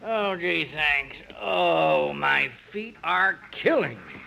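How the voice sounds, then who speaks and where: An actor playing a weary, footsore mailman in a 1950s radio comedy, with a creaky voice. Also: a little high, lively intonation, sharp tone, full character voice. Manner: slow, drawn-out delivery. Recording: noisy vintage radio, studio audience.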